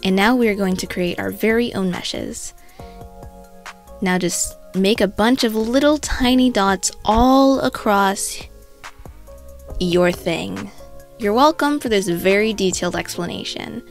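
Congested young woman, chatty tutorial voice-over. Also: mid-range voice. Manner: casual, chatty, self-mocking asides. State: congested from a cold. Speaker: art tutorial host.

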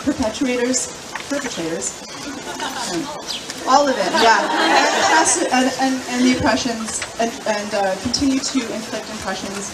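Young woman addressing an outdoor rally. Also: fairly high pitch. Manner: earnest, halting with fillers and restarts. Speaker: rally organizer speaking to a crowd. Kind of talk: public speech. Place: outdoor city square at a rally. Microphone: handheld mic through speakers, filmed from far off. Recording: echoey PA sound from far off, loud crowd noise.

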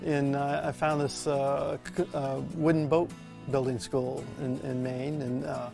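An older man who builds canoes talks casually in his woodworking shop, pausing often with fillers. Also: medium pitch, level tone, gravelly. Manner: halting, many fillers. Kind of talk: casual interview. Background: background music.

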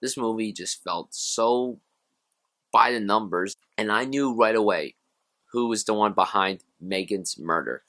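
A man's voice: lowish voice, measured pace, bright, forceful tone. A young American man of about college age, talking casually and conversationally to camera as an opinionated movie reviewer. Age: young adult, around college age. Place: small room at home, indoors.

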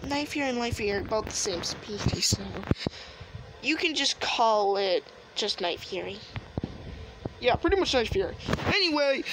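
A woman playing a frank, fatherly knight in an improvised game roleplay, explaining things in a halting, matter-of-fact way. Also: fairly high pitch, background noise. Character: fatherly knight, frank mentor to his son.

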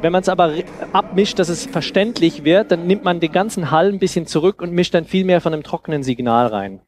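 A man in his thirties giving an informal, explanatory workshop talk into a headset mic, often halting and repeating words. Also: high voice, a crowd in the background. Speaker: speaker giving a talk on sound design.

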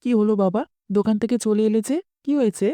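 A young village son from a cartoon tale, played by a woman, speaks fast with a soft, mellow tone. He comes across as earnest and protesting, recorded dry and close. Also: medium pitch, no room sound, lightly acted. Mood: concerned, determined. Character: village blacksmith's young son, reluctant but loyal. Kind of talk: cartoon dialogue acting.

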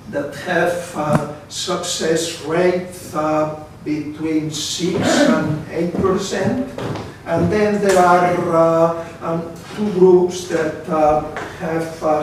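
A man in his sixties from Spain with a Spanish accent, giving a seated conference presentation with a halting, hesitant delivery. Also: on the high side. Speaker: academic speaker, research council member. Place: seminar room, indoors. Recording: very echoey room, distant mic, faint noise.

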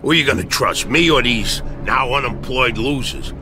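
A man playing a domineering, slippery, crooked boss in a video game, forceful and commanding, with music behind. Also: medium pitch, animated, moderate pace, natural voice. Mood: defensive, bullying.

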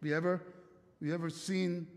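A man's voice: a little high, flat delivery, moderate pace, a little mellow. A middle-aged man from Italy with an Italian accent, preaching a conversational sermon from a church stage and asking the congregation lots of questions. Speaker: pastor. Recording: long church hall reverb.

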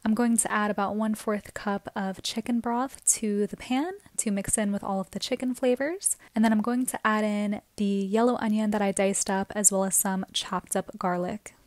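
A woman's voice: medium pitch, steady tone, moderate pace, hardly any pauses, no room sound. A young woman narrating her cooking video in a friendly, chatty voice-over. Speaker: cooking vlogger.

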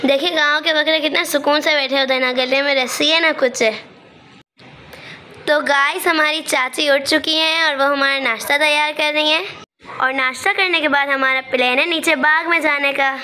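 A woman's voice: high voice, steady tone, moderate pace, bright, forceful tone. A young woman narrating a vlog as a voice-over, on a noisy amateur phone recording. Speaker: vlogger.

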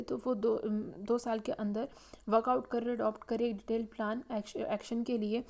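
A woman's voice: a little high, mellow. A woman giving a slide lecture on a legal text, reading through it with frequent "uh" fillers and restarts, mixing in English legal terms. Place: voice-over on slides.

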